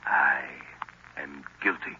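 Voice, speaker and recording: An actor playing a commanding, scheming hypnotist professor with a deliberate, menacing manner in 1930s radio drama style. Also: male voice, very deep voice. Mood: commanding, hypnotic. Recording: muffled, noisy 1930s radio recording.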